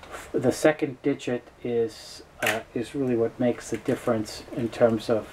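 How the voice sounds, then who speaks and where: A man giving an informal, unscripted product explainer in a halting, matter-of-fact, knowledgeable way. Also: mid-range voice, steady tone, background noise. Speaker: tape dealer presenting a product overview.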